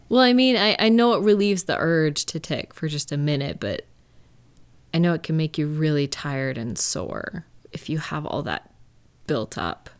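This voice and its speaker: A woman playing a caring, reassuring live-in partner in an intimate audio roleplay, speaking gently and conversationally with thoughtful, hesitant pauses. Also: on the low side, smooth. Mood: gentle, understanding. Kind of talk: intimate one-sided comfort roleplay, conversational acting.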